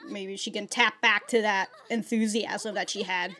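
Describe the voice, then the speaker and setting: A woman's voice: medium pitch, fairly even intonation, normal speed, sharp tone. A young woman hosting an anime reaction video from a small room at home, chatty, laughing often and swearing in outbursts. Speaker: anime reaction channel host. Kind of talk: casual reaction commentary.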